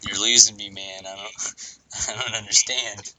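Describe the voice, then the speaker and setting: A man's voice: on the low side, fairly even intonation, moderate pace, crowd noise, rough recording. A young man asking puzzled questions in a casual podcast chat as co-host. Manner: quizzical, asks naive questions.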